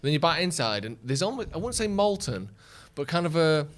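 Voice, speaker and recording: A man's voice: on the high side, sing-song, brisk. A man co-hosting a podcast, teasing his friends and rambling through jokey asides in casual banter. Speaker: podcast co-host.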